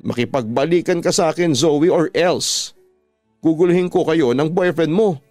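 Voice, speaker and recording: A man's voice: on the high side, normal speed, darkish tone, no room sound, faint music behind, pitched above the performer's own voice, close to an everyday voice. A Filipino man voicing a possessive, manipulative ex-boyfriend in a radio drama, insistent in his delivery. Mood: menacing. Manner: pleading turning insistent and threatening.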